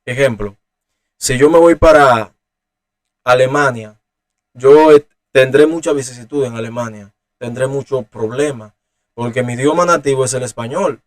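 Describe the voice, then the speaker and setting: A preacher with a Dominican Spanish accent explains scripture at an unhurried, teaching pace. He speaks in a middle-pitched voice and often pauses on "eh" as he gathers his thoughts. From Dominican Republic. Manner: unhurried, explanatory, with frequent "eh" fillers. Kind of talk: Bible teaching.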